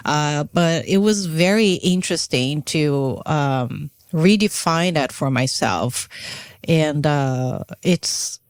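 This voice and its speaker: A woman's voice: sits low, takes it slowly, dry recording. Woman, dry close sound. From Brazil. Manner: reflective, searching for words, many fillers. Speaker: podcast co-host. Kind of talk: casual spiritual chat.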